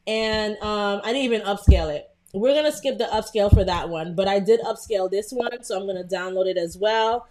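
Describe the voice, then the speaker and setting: A young woman with a Brooklyn accent hosting a casual live design tutorial, chatty and full of fillers as she greets viewers. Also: fairly high pitch. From Brooklyn, New York. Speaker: livestream host.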